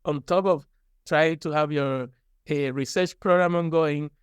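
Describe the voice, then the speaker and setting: Male interview guest, Cuban accent, native Spanish speaker. Clear, smooth tone, candid conversational delivery, dry close recording. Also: a little high, steady tone, a little slow, dry recording. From Cuba. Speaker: guest, university professor.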